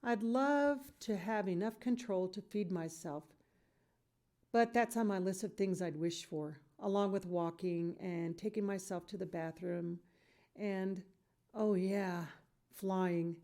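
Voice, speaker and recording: A woman reading a children's novel aloud in expressive storybook narration. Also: mid-range voice, slow.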